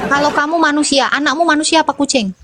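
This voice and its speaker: A woman with a fairly high voice argues her point over a voice call. She is assertive and snaps back with quick rhetorical questions. She sounds confident and insistent throughout. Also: steady tone, a loud crowd, heavy background noise. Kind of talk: live call-in debate.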